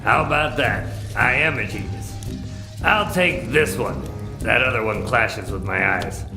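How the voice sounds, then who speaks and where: An actor playing a cocky, impatient adventurer in a radio drama, flippant and sarcastic, with a gravelly voice. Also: male voice, mid-range voice, animated, measured pace. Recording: roomy echo, music underneath. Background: music.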